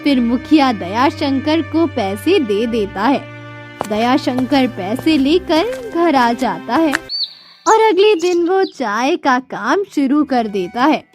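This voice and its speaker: A woman reading scripted narration for a children's moral tale in a measured, deliberate storytelling manner. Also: high voice, big pitch swings, music in the background.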